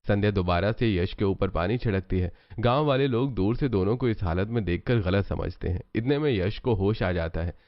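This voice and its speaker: A man's voice: fairly low pitch, normal speed, few pauses, no echo. A man reading scripted narration for a story as its narrator. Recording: dull sound under loud music.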